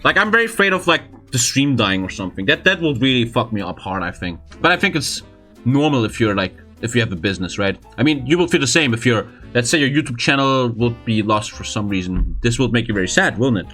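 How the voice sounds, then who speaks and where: Lively bright-voiced man in his twenties. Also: medium pitch, fast, soft background music. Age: 20s. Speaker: podcast host and streamer. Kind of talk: casual podcast chat.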